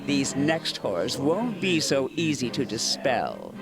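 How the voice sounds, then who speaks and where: Ancient eldritch villain, pompous, taunting, swooping intonation, slow delivery, game dialogue. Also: male voice, mid-range voice, huge pitch swings, vocal fry, music in the background, exaggerated voice. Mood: gloating menace. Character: ancient eldritch horror, male, haughty menacing theatrical villain. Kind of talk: dramatic video game voice acting.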